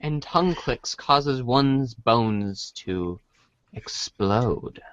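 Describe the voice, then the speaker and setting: A man reading a storybook aloud in a dramatic read-along style, halting and stumbling over long words. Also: medium pitch, lively intonation, a bit bright, no room sound, lo-fi sound. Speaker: reader in a comedic reader's theater show.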